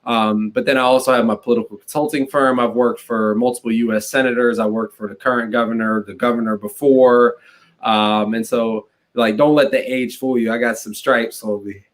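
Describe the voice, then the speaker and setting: A man in his late twenties speaks as a podcast guest, a political consultant. He talks quickly and casually, with a constant stream of "like" fillers. His voice sits at an ordinary middle pitch. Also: steady tone. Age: 20s. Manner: fast, conversational, peppered with "like". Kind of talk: casual interview chat.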